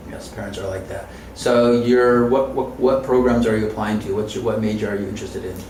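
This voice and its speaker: A man interviewing a student, patient and soft-spoken with gentle murmured backchannels. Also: fairly low pitch, steady tone, fairly quick, slight background noise. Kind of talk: casual interview. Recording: roomy, distant mic.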